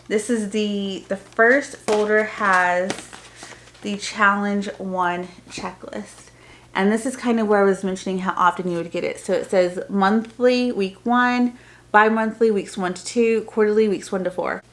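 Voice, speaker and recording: Casting: female homeschool vlogger, mid-range pitch, casual unboxing-review delivery, chatty and informal, loosely built sentences. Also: fairly even intonation, unhurried.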